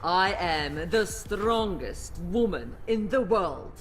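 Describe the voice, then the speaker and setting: A woman's voice: lowish voice, expressive, slow, faint music behind. An actress playing a proud, mighty woman soldier with a Russian accent in a video game, bold and declamatory. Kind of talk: video game character voice line.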